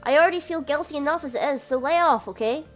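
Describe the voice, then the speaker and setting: A woman's voice: sits high, fast, soft background music, lightly acted. A woman reading a visual novel aloud, voicing a sheepish young man who narrates and argues with his family. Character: young adult man, a flustered older brother who narrates.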